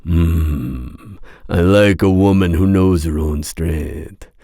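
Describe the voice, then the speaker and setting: Man acting as masked mercenary villain, cultured, very low slow drawl, menacing, seductive, ASMR roleplay. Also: warm tone, no room sound, clean studio sound. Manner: formal, deliberate, suave. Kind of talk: ASMR roleplay audio drama.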